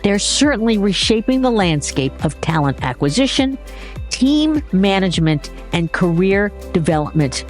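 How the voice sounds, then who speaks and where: A woman hosting a podcast, reading a warm upbeat introduction and then interviewing her guest with enthusiasm. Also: mid-range voice, sing-song, normal speed, soft background music. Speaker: podcast host.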